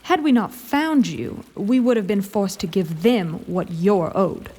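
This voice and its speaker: A woman's voice: mid-range voice, lively intonation, measured pace, warm tone, husky. Imperious female military lord for fantasy audio drama: grand, formal, condescending, menace under the manners. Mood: sweetly menacing.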